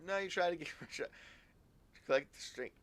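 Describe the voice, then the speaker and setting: A man's voice: sits high, takes it slowly, fairly bright tone. A man casually commentating on a live game stream, repeating words and thinking aloud as he goes.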